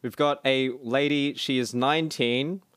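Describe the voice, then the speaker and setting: A man's voice: medium pitch, steady tone, measured pace, a bit bright, clear, resonant tone, dry recording, studio quality. A man co-hosting a casual podcast, joking and laughing often as he reads out dating profiles. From Australia. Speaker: podcast co-host. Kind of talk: casual banter.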